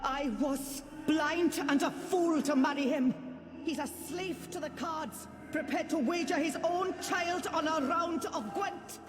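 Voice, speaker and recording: A pious nagging ghost wife. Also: female voice, sits high, measured pace. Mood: reproachful. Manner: sanctimonious, reproachful, solemn. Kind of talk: video game voice acting. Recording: game audio with reverb and music.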